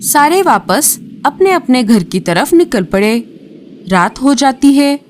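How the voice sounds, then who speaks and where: A woman narrating a children's cartoon in a slow, even storytelling way. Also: sits high, a little hiss or hum.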